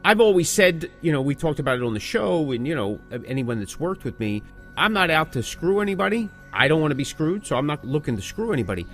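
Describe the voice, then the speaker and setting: A man's voice: on the high side, big pitch swings, quick pace, fairly bright tone, soft background music. A man co-hosting a podcast, explaining things in a casual, emphatic, conversational way. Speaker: podcast co-host and working band musician.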